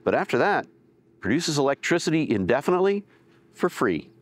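A man's voice: fairly high pitch, big pitch swings, brisk. An older man presenting outdoors in a plain, conversational way, gesturing as he explains. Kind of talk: explainer talk to camera. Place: outdoors by solar panels and at a winery.

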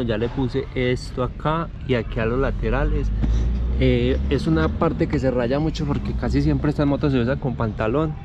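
A man's voice: mid-range voice, background noise. A man, chatting casually to viewers as he fits parts to a motorcycle outdoors. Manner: relaxed, chatty, addresses viewers as "gente". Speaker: motovlog host. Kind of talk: casual how-to narration. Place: outdoor parking lot. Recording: music playing behind.

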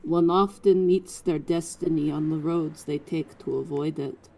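A woman's voice: deep voice, steady tone, unhurried, vocal fry, dark tone, full character voice, poor audio. A woman voicing a solemn, mystical, nature-loving shaman in a tabletop game.

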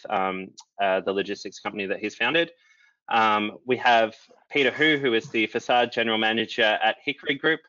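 A young man moderating a panel on a video call, relaxed and filling pauses with ums. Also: lowish voice, dry recording. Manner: relaxed, many "um" fillers. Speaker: moderator, company chief executive. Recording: dull lo-fi video call audio.

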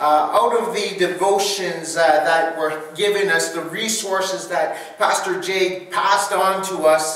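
A middle-aged man preaching a sermon as pastor, earnest and halting with restarts, recorded by a far camera in a dim church hall. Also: high voice, sharp tone. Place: dim church hall before a projection screen. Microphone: far camera, no close mic.

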